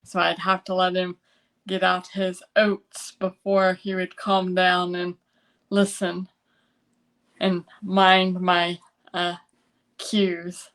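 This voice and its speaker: A woman with an American accent speaking conversationally as an interview guest, halting often to gather her thoughts. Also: on the low side, monotone, a bit bright. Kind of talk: conversational personal storytelling.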